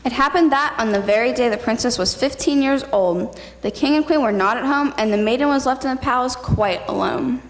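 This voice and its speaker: Higher-voiced woman narrating in a reverberant hall. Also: a little fast, hardly any pauses. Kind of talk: scripted fairy-tale narration, readers theatre. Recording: noisy hall with long reverb.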